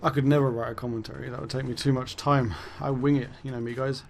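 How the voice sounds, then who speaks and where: Rambling gravelly-voiced man, voice going. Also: mid-range voice, flat delivery, fast talker, a little hiss or hum. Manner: rambling, self-correcting, swears then laughs it off. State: losing his voice. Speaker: gaming YouTuber. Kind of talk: casual commentary monologue.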